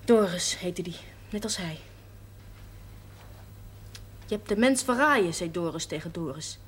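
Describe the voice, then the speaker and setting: An actress playing a blunt, passionate, defiant daughter in a television drama, speaking coarsely and emphatically. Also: female voice, lowish voice, expressive, a little slow, breathy edge. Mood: bitter, insistent. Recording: faintly noisy old television soundtrack.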